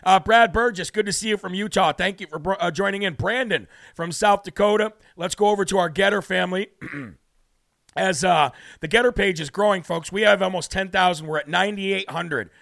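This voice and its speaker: A man's voice: high-pitched, fairly quick, vocal fry, fairly bright tone, dry recording, studio quality. A man from New Hampshire hosting a live political talk show, brash and preachy, losing his voice as he reads out viewer comments.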